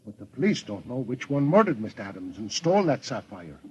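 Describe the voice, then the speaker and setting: Through a muffled vintage radio recording with faint noise comes a man acting as a detective's eager sidekick. His pitch swings animatedly as he talks in a folksy, plain-spoken way. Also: sing-song, brisk, lightly acted. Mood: intrigued. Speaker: radio actor. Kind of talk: old-time radio detective drama acting.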